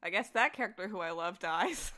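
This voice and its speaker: A woman podcast guest chatting casually, telling stories in an animated, self-deprecating way with frequent laughter. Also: fairly low pitch, a little fast. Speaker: voice actor. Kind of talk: casual conversational chat about fandom.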